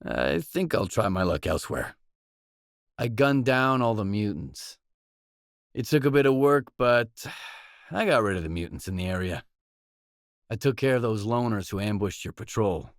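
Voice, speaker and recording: An actor playing a blunt, self-reliant mercenary stalker in a video game, speaking in a terse, matter-of-fact way. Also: male voice, fairly low pitch, lively intonation, moderate pace, long pauses, dry recording, clean studio sound. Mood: noncommittal. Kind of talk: video game dialogue lines.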